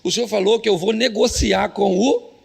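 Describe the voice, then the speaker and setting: A Brazilian pastor playing a weary, aging prophet who rambles through slangy, evasive excuses in a comic sermon. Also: male voice, high voice, moderate pace, vocal fry, a little mellow, slightly rough, exaggerated voice. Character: weary, reluctant older male prophet making comic excuses.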